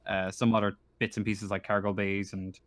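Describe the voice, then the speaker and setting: A man speaking casually as a game master, narrating a tabletop game in a halting, hesitant way. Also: fairly low pitch, level tone.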